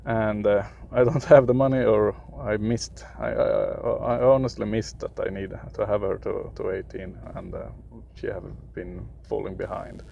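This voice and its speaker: A young man from Sweden with a Swedish accent, for whom English is a third language, giving casual gameplay commentary in a halting way, often searching for words. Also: lowish voice, fairly even intonation, creaky, dark tone, lo-fi sound, a little hiss or hum. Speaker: gaming video creator.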